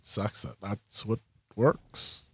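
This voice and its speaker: Low-voiced man, musing hesitantly. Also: fairly even intonation, drawn-out words, fairly bright tone, breathy edge. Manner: hesitant, reflective, trailing off. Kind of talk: casual chat.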